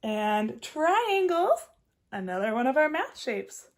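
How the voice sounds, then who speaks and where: Lady teacher reading a kids book, high voice, super animated, keeps asking the kids questions. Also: takes it slowly. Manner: expressive, questioning, addresses children directly. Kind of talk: animated children's read-aloud with questions.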